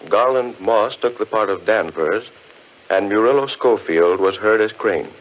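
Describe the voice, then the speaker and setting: A man announcing in a formal, measured, scripted 1940s radio style. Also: fairly low pitch. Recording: dull 1940s radio recording.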